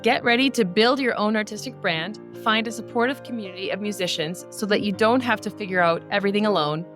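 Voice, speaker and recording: A woman's voice: mid-range voice, fast talker. Woman co-host reading over guitar music. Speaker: podcast co-host and classical musician. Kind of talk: scripted podcast intro.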